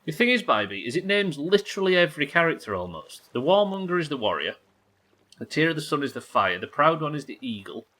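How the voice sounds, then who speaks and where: A man's voice: fairly high pitch, big pitch swings, brisk, pushed and bright. A man doing casual livestream game commentary, thinking aloud, questioning himself and swearing now and then. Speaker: live game streamer.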